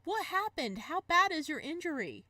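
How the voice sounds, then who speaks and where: A woman voicing a protective, anxious young older brother in an expressive, dramatic visual novel read-aloud. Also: high voice, normal speed, pitched above the performer's own voice, full character voice. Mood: concerned. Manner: expressive, exclamatory.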